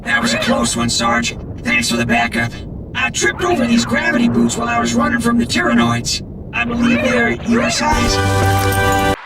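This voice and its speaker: A man's voice: medium pitch, moderate pace, creaky, loud music behind the voice, natural voice. A man voicing a dutiful, bumbling military trooper who stammers nervously in a video game. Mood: relieved. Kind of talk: video game cutscene voice acting.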